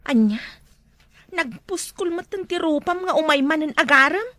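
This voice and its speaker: A woman acting as an inquisitive village customer, very high in pitch, with an Ilocano accent. She sounds easily annoyed, in comedy drama style, recorded dry and close. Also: animated, normal speed, fairly bright tone, dry recording.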